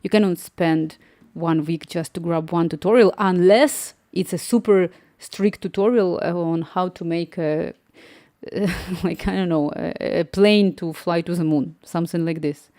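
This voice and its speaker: A woman's voice: on the low side, unhurried, warm tone, no echo, studio quality. A young woman from Ukraine with a Slavic accent, chatting casually on a live stream, laughing often and restarting words as she answers viewers.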